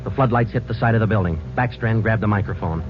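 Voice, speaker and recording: An actor playing a terse, steady police sergeant in 1940s radio drama style, clipped and matter-of-fact. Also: male voice, lowish voice, fast talker, noisy background, natural voice. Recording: muffled 1949 radio recording, music behind.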